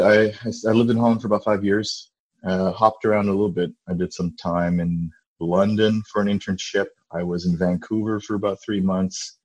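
A man in his forties from Indonesia, a podcast guest talking in a relaxed, rambling way over a call. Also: fairly low pitch, dry recording, low-quality recording. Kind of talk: casual conversation. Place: summer home, over a video call.

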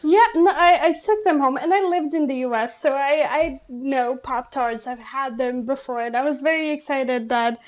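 A woman's voice: high-pitched, normal speed, hardly any pauses. A woman on a podcast, asking curious questions and giggling as she talks. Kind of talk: casual chat.

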